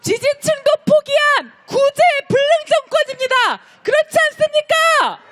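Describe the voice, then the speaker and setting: A woman leading a street protest, delivering impassioned, declamatory speech and shouted chants to the marchers. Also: very high voice, sing-song, normal speed, very noisy. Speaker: rally host leading chants. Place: outdoor street march beside a park.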